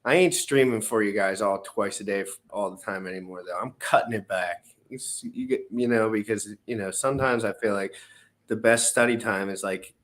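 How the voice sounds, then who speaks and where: A man's voice: lowish voice, moderate pace. A man hosting a casual live show, loose and joking, talking with guests and swearing casually. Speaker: livestream show host. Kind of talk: casual chat.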